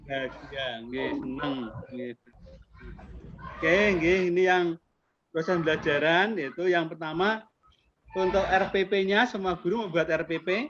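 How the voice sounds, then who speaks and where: A man's voice: on the high side, unhurried, fairly bright tone. A man in his fifties with a Javanese accent, an assessor chatting in a friendly way with teachers over a video call. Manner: friendly, chatty, mixes in Javanese politeness words. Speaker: accreditation assessor. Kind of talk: online interview with teachers. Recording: muffled video call audio with background noise.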